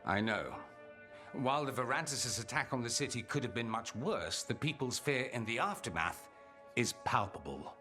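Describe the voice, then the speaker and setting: A suave fantasy game mage, sure of himself and dryly witty. His mid-pitched delivery is theatrical and swings widely in tone, with music underneath. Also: lively intonation, normal speed, pushed and bright. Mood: grave. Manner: theatrical, dry, sardonic. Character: suave, witty, self-assured male mage and magister. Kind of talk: dramatic game dialogue. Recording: reverberant hall, music underneath.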